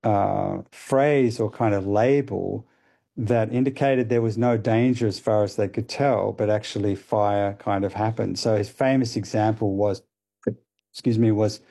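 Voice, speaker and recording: Guy on a radio show explaining stuff, low warm voice, lots of ums. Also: fairly even intonation, a little slow, vocal fry. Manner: thoughtful, hesitant with many fillers. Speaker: guest expert.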